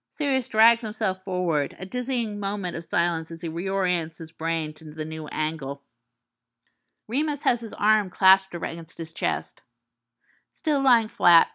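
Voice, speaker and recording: A woman with a fairly low voice reads a story aloud, calm and measured. Her delivery is soft and steady, in the manner of an audiobook. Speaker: podfic reader. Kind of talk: audiobook reading of fan fiction.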